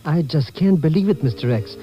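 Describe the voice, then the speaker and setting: An actor playing an excitable, naive comic sidekick in a 1950s radio drama, exclaiming in disbelief, on a vintage recording. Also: male voice, a little high, fairly quick, muffled recording, over loud music, lightly acted. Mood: incredulous, excited.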